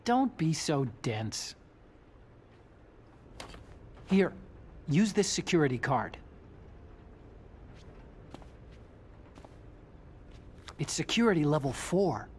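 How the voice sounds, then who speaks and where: Game role: idealistic nerdy engineer, lively intonation, earnest and a little defensive. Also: male voice, on the high side, normal speed, a little hiss or hum. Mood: flustered, eager. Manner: earnest, eager, slightly defensive. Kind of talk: dramatic video game voice acting.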